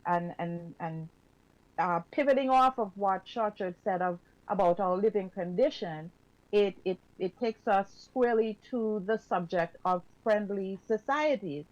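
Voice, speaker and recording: A woman on a radio talk show, speaking reflectively and haltingly with fillers. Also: mid-range voice, no echo. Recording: dull lo-fi sound.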